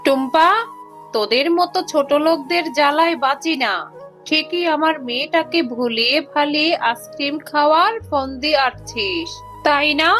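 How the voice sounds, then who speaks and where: Lady doing a snooty cartoon tigress mom, high voice, scolding, music behind. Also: normal speed, a bit bright. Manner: haughty, scolding. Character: snobbish, scolding rich tigress mother. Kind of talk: children's cartoon voice acting.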